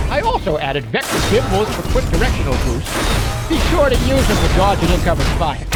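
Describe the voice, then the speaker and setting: A man playing a wisecracking, gun-loving mech mechanic in a video game, with folksy, slangy talk. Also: high-pitched. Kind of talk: video game character voice lines.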